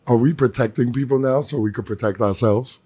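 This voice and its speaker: A young man with a hoarse voice co-hosting a casual podcast, joking in slang. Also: medium pitch, fairly quick, warm tone. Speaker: podcast co-host.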